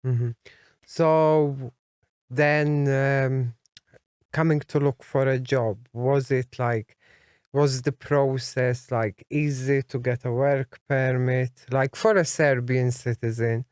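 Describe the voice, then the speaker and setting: A man's voice: mid-range voice, steady tone, takes it slowly, a little mellow, clear, resonant tone, dry recording, clean studio sound. A man interviewing a guest, asking long, rambling questions.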